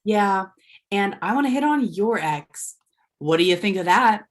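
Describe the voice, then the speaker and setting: A woman's voice: mid-range voice, expressive, a little slow, close to an everyday voice. An actress at a table read playing a blunt, dry young woman at a bar. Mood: bold. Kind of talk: table read of a comedy script.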